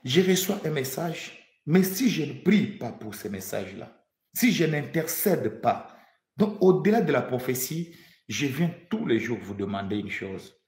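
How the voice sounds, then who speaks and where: A fervent man from the Democratic Republic of the Congo delivers a prophetic sermon, driving points home by repeating them. His pitch sits mid-range for a man, and his voice rings around a reverberant room. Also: very animated, a little slow, a little mellow. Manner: fervent, repeats phrases for emphasis, stammers on restarts. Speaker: prophet, preacher.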